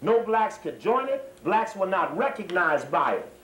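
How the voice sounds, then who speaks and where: A man's voice: high voice, big pitch swings, a little slow, a bit bright. A man giving an extemporaneous lecture to a live audience, speaking in an emphatic, repetitive, digressive manner. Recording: echoey room, slightly distant microphone.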